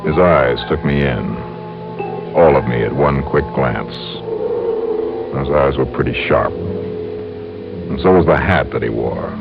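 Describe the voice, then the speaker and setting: Wry American private investigator, low voice, clipped hard-boiled delivery, old radio drama, dull recording with music behind. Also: male voice, slow pace, gravelly, pauses often, heavily acted. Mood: wry narration. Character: wry, tough male insurance investigator narrating his own case. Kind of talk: 1950s radio detective acting.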